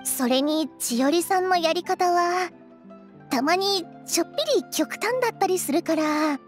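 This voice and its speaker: A woman's voice: extremely high pitch, unhurried, faint music behind. A woman playing an eager, friendly young reporter in a Japanese game, chatty, earnest and emphatic. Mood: earnest, concerned. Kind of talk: Japanese anime-style game acting.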